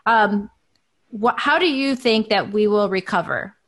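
A woman's voice: medium pitch, unhurried, fairly bright tone. A woman co-hosting a podcast, chatting casually and upbeat with her guest. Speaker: podcast co-host. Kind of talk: casual interview chat.

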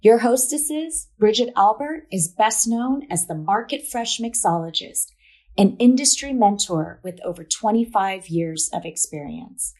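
A woman's voice: medium pitch, a little mellow. A woman hosting a podcast, reading a scripted introduction and then chatting with enthusiasm. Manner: enthusiastic, gushing, with fillers. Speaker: podcast host.

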